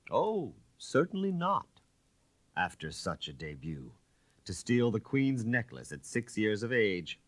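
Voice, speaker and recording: A man's voice: sits low, fairly bright tone, no echo, full character voice. A man voicing a wary, outwardly polite aristocratic count in an audiobook, speaking formally with feigned amusement.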